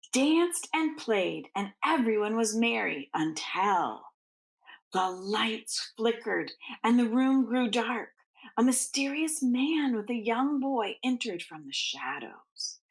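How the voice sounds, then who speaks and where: A woman with a fairly high voice and a noticeable creak reads to children like a schoolteacher. She takes her time, phrasing things expressively and deliberately in an informal storybook read-aloud style. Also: rough recording. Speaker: school teacher reading aloud to students.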